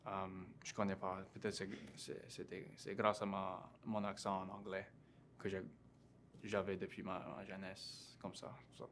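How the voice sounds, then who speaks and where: A young man in his twenties speaks with a Cajun French accent in a casual interview. His voice sits a little low and stays flat and even. He talks haltingly, with many fillers and restarts. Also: a little hiss or hum. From Louisiana. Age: 20s. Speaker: interview guest, graduate student.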